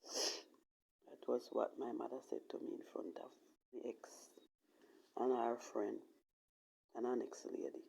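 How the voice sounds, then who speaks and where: A woman with a Jamaican accent telling a personal story casually in patois, in a halting, emphatic way that repeats phrases. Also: very deep voice, little pitch movement, vocal fry, darkish tone, husky, poor audio. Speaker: vlogger telling own life story.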